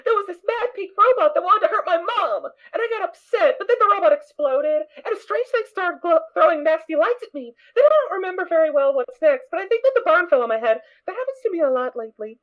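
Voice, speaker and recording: A woman's voice: very high-pitched, lively intonation, quick pace, few pauses. A woman voicing a cheerful, hyperactive little filly pony in a fan audio drama, bubbly and childlike. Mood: chatty. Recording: dull lo-fi recording.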